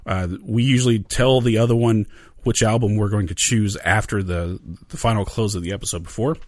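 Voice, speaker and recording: Lower-pitched male co-host, dry close mic; eager, halting conversational delivery. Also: steady tone, dry recording. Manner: enthusiastic, repeats words when starting sentences. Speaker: podcast co-host. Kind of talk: casual chat.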